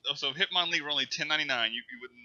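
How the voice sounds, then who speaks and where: A man's voice: fairly high pitch, very fast, sharp tone. A man co-hosting a casual gaming podcast, teasing and joking with his partner. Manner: teasing, joking back-and-forth. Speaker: podcast co-host. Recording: dull lo-fi mic.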